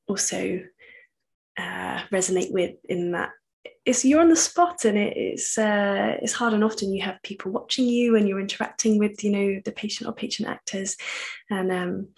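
A woman's voice: medium pitch, creaky. A woman hosting a casual video-call interview, asking questions and backing her guest with frequent mm-hmms. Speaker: interview host. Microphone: studio microphone on arm.

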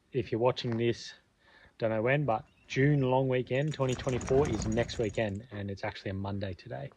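A man's voice: mid-range voice, darkish tone. Laid-back Australian man, camping vlogger. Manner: laid-back, chatty, rambling with fillers. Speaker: touring vlogger. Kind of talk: casual travel vlog narration. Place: outdoors at a campsite and in a car. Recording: dull lo-fi sound with clear outdoor and engine noise.

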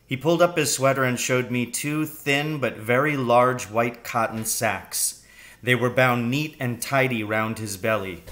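A man's voice: medium pitch, a little slow, a bit bright. A middle-aged man narrating a children's storybook, reading aloud. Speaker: reader. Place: room at home, indoors.

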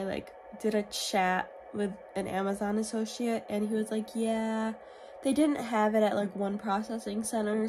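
A woman's voice: mid-range voice, background noise. A young woman vlogging at home with her phone at arm's length, chatty and upbeat while getting over a cold. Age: young adult. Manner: chatty, upbeat morning updates. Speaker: family vlogger. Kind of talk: casual vlog to camera. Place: home living room, indoors. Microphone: phone held at arm's length.

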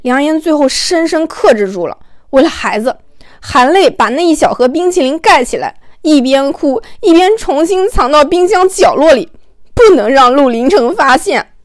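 A woman tells a story as an audiobook narrator, her voice very high. Her delivery is expressive and conversational, recorded close and dry. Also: normal speed, no room sound.

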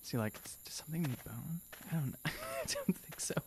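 A man's voice: a little high, expressive, brisk, vocal fry, background noise. A young man chatting casually and improvising as a player in a tabletop game, close on a podcast mic. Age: young adult. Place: small studio room, indoors. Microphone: close podcast microphone on a stand.

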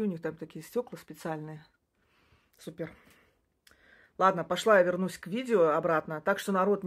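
A woman in her forties, a native Russian speaker, talking casually to her phone camera in a small indoor room. She rambles, restarts sentences and laughs briefly between points. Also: on the low side. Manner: chatty, rambling, frequent short laughs and restarts. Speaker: social media creator. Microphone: phone camera close up.

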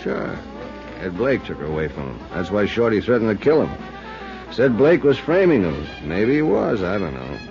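An actor playing a world-weary, bitter man who speaks slowly in a 1950s radio drama, on an old recording. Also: fairly low pitch, big pitch swings. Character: bitter lovesick man, cynical and brooding. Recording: lo-fi vintage radio with music.

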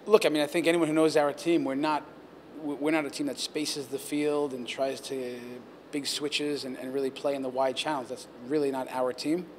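A man in his forties, a head coach answering post-game questions at reporters' handheld mics, talking in a measured, earnest way with many restarts. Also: on the high side, little pitch movement, slight background noise. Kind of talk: post-game press interview. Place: press backdrop area, indoors. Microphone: handheld reporter mics held close.

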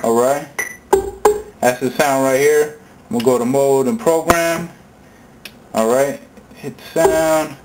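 Guy w mid-pitched voice doing a laid out hands-on gear tutorial, informal slang, loud sampler music behind him. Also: a little slow, long pauses, low-quality recording. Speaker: tutorial presenter. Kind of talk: casual hands-on gear demonstration. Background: sampled music loops and instrument sounds.

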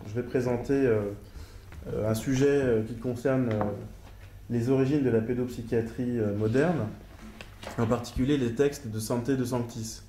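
A man's voice: mid-range voice, steady tone, normal speed, dark tone. A middle-aged man, a psychiatrist, speaking at a conference panel, hesitant with many fillers and careful over foreign names. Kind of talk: academic conference talk and discussion. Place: large lecture hall. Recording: echoey hall with faint noise.

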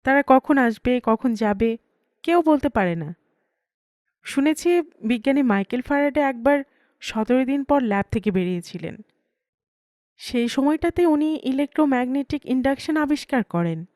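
A woman's voice: sits high, animated, normal speed, long pauses, darkish tone, no echo, studio quality. A woman voicing a brash, quirky young female engineering student, cheeky and argumentative, in an audio story. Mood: earnest defiance. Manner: cheeky, argumentative, self-assured.

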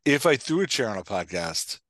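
Animated man chatting on a podcast. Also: medium pitch, a little fast, no echo. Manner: playful, joking. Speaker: podcast host and dialogue coach. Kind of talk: casual podcast discussion. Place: indoor room at home, video call. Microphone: headset mic.

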